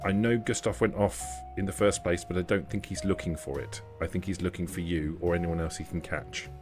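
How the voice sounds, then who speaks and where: A man's voice: low voice, steady tone, brisk, soft background music, pitched below the performer's own voice, natural voice. A man voicing a refined, courteous older vampire woman in a tabletop game. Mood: discreet, cautious. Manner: polite, genteel, addresses others as darling.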